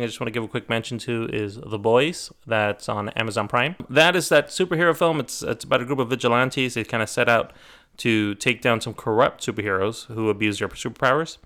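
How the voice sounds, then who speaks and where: A man's voice: medium pitch, sharp tone, clear, resonant tone. A man in his thirties hosting a podcast, rambling and correcting himself as he chats casually. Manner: rambling, self-correcting, chatty. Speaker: podcast host. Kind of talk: casual chat.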